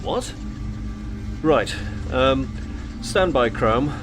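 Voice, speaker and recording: Guy acting a stern space general, fed up n urgent, mid pitch, very animated, sci-fi audio drama. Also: very slow, over music. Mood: exasperated. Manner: urgent, commanding, impatient.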